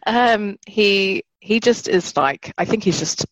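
A woman's voice: mid-range voice, animated, slow, fairly bright tone, dry recording, lo-fi sound. A woman co-hosting a podcast, chatting casually with hesitant fillers and self-mocking jokes. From Britain. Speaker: podcast co-host. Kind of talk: casual chat.

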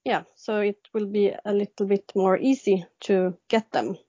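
A woman's voice: medium pitch, steady tone, moderate pace, mellow, no room sound. A woman in her thirties from Sweden, speaking English with a Swedish accent as an interview guest, hesitant and full of ums and restarts. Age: 30s. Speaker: guest illustrator. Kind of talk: casual interview answers. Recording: dry, dull lo-fi sound.

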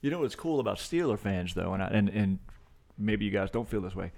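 A man's voice: on the low side, animated, a little fast, creaky, a little mellow. A man co-hosting a podcast, casual and easygoing, asking questions and sharing jokes. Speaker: podcast co-host.

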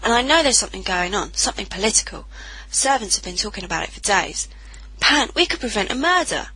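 Woman as impulsive defiant kid girl, talks really fast, sharp bright voice, audio drama. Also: medium pitch, lively intonation, breathy edge, rough recording. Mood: defiant, urgent. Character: headstrong, impulsive young girl, defiant and urgent.

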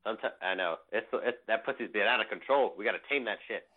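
A man's voice: lowish voice, quick pace. Man, 30s, casual co-host, joking, phone-quality sound. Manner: laid-back, joking, swears casually. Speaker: podcast co-host. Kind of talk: casual chat. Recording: phone-like audio.

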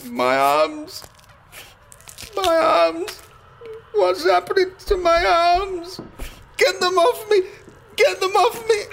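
A man's voice: very high voice, creaky, fairly bright tone, a little hiss or hum, exaggerated voice. An actor playing a frightened paranormal investigator who narrates his exploration aloud in an audio drama. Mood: screaming panic. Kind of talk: audio drama acting, found-recording monologue.

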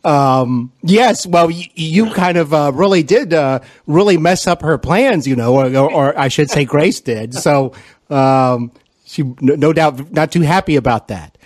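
A man's voice: on the high side, unhurried, dry recording. Rambling man hosting an interview. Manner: rambling, filler-heavy questions. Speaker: podcast interviewer and actor. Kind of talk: casual interview questions.

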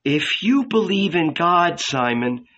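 A man's voice: a little high, lively intonation, slow pace, bright, forceful tone, muffled recording, pitched above the performer's own voice, exaggerated voice. A man voicing an anxious, earnest male disciple in a storybook reading, urgent and pleading. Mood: urgent, begging.

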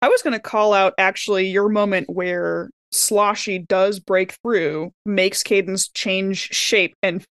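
A woman's voice: mid-range voice, fairly even intonation, a little slow, few pauses, clear, resonant tone, no room sound, studio quality. A woman chatting casually and enthusiastically as a podcast co-host, rambling and often saying like. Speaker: podcast co-host and role-playing game player. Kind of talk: casual panel chat.

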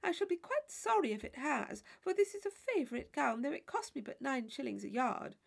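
Storybook role: fussy society wife, played by a female narrator, rambling and easily impressed, high lilting delivery. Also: sing-song, a little fast, vocal fry, full character voice. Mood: fretful. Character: fussy, chatty married lady, dress-obsessed. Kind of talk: audiobook character dialogue.

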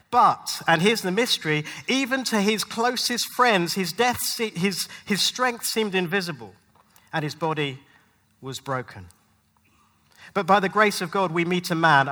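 Male preacher, forceful bright tone, rhetorical sermon with weighty pauses, recorded in a big echoing hall. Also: high-pitched. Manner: rhetorical, emphatic, with dramatic pauses. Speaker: pastor, guest preacher. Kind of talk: sermon to a live congregation. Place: large hall at a live church event. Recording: long hall reverb.

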